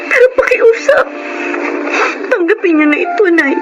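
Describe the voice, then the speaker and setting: An emotional, materialistic young Filipina for radio drama. Her high voice moves widely and expressively, melodramatic and emphatic. Music plays clearly behind her. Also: lively intonation, moderate pace, highs cut off. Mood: pleading. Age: young adult.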